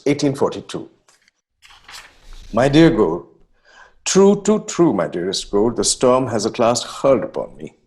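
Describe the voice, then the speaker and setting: An impassioned young poet of the nineteenth century, acted by a man, declaiming his letters in a dramatic reading. His delivery is florid and melodramatic, with a very animated pitch that rises and falls freely. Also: natural voice. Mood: anguished, pleading.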